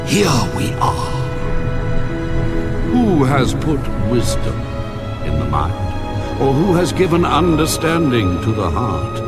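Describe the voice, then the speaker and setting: God, slow and solemn. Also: male voice, medium pitch. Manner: slow, solemn, weighty questioning. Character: God speaking from the storm, majestic and commanding. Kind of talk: dramatized scripture reading. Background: music score.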